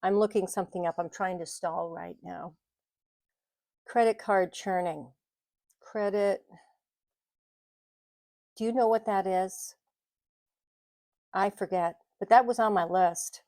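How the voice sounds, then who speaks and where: A woman's voice: mid-range voice, steady tone, takes it slowly, pauses often, darkish tone, dry recording, studio quality. A middle-aged woman talking casually to camera in a vlog, rambling and correcting herself, with frequent small laughs. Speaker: vlogger. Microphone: mic on the desk, bumped at times.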